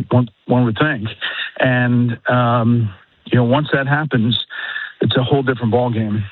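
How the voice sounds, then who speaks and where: A middle-aged man, a news anchor, speaking as a guest on a radio interview about politics, halting with many fillers and restarts. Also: mid-range voice, no room sound. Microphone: phone line. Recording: husky voice over a phone line.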